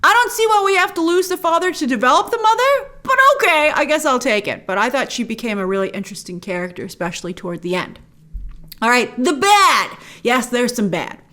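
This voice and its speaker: Animated, high-pitched woman reviewer. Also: brisk. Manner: emphatic, informal phrasing. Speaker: film and game review show host. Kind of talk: opinionated video review, conversational commentary.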